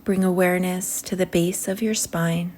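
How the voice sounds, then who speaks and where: A woman leading a guided meditation in a soothing manner, speaking in short phrases with long pauses that trail softly toward a whisper. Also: lowish voice, steady tone, slight background noise.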